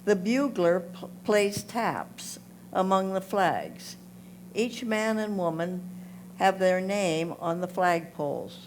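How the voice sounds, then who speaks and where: A woman reads photo captions out loud at a very slow, measured pace. Her delivery halts a little between phrases. There is a hum and some noise behind her voice. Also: medium pitch, animated. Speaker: reader of photo captions at a photo club presentation. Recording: clear background noise with hum.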